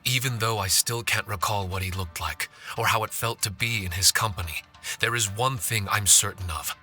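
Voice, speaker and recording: Earnest loyal game spirit, low but really bright and clear-cut, formal, music under it. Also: male voice, normal speed. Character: solemn male paper-charm spirit servant, loyal and earnest. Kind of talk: video game voice acting. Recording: music behind the voice.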